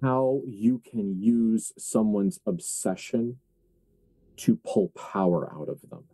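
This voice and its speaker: A man acting as game master, narrating a tabletop horror roleplaying game in deliberate, halting phrases. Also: on the low side, warm tone, dry recording.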